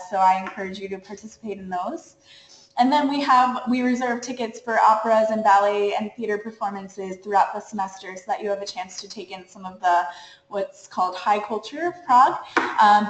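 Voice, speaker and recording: A woman coordinator giving a webinar presentation, walking listeners through slides in an upbeat, explanatory way. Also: mid-range voice. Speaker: study abroad program coordinator. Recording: echoey room.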